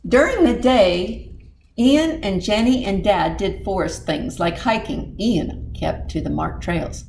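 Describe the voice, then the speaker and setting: Female storytime librarian, expressive, mid-pitched, chatting to children as she reads, faint background noise. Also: slow, creaky. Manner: expressive, talks directly to young listeners. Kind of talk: animated children's storybook read-aloud with asides.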